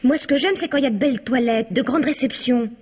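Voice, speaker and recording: An actress playing Anna, a chatty, prying housemaid in an old radio drama, deferential but nosy. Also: female voice, high voice, quick pace, a little mellow, slightly rough. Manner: deferential yet prying, gossipy.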